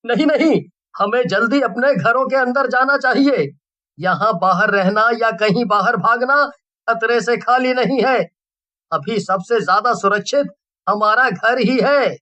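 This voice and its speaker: A man's voice: very high-pitched, measured pace, bright, forceful tone, muffled recording, heavily acted. A man voicing a panicky, selfish villager in a story, agitated, complaining and scornful. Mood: panicked.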